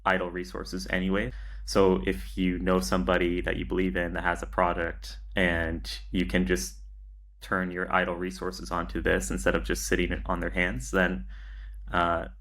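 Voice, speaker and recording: Low-pitched, even-toned man in conversation. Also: moderate pace. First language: English. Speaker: podcast guest, agency founder. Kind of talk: casual interview conversation.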